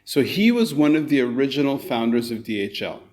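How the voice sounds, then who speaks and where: Enthusiastic Canadian-raised podcast host. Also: male voice, mid-range voice, very animated, brisk, darkish tone. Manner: enthusiastic, rambling with fillers. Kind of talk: casual interview chat.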